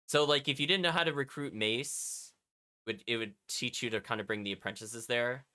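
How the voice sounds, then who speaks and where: A man's voice: medium pitch, normal speed, pushed and bright. A man streaming a video game, reading its text aloud and chatting with casual asides to his viewers. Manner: chatty, often commenting as an aside.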